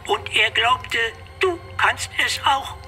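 Cold, condescending AI mind , a man's voice, slow and very bright, with faint music under it. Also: very high-pitched, exaggerated voice. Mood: patronizing. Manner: calm, patronizing, measured. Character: calm cybernetic superintelligence, cold and condescending. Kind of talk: German radio play acting.

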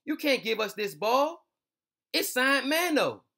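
A man's voice: very high voice, sing-song, slow, gravelly, sharp tone, pitched above the performer's own voice, full character voice. A man voicing an eager young soccer-loving boy in a storybook, in an earnest, simple way. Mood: protesting.

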